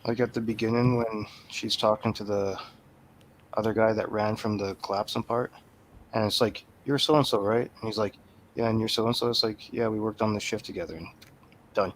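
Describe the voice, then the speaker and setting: A man's voice: lowish voice, steady tone, normal speed. A man on a movie review panel chatting casually in blunt, clipped remarks. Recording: clear background noise.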